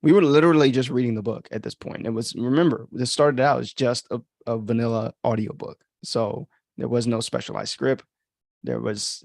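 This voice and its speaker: Man, author hosting a podcast, gravelly fry, casual halting delivery, dry close sound. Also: mid-range voice, a little mellow, no room sound. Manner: informal, halting, thinking aloud. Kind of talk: casual commentary on own book.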